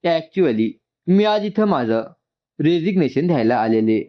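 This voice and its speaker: A man voicing an earnest young woman designer who pleads with her boss, his pitch lifting a little. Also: sing-song, normal speed, dull audio. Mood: pleading.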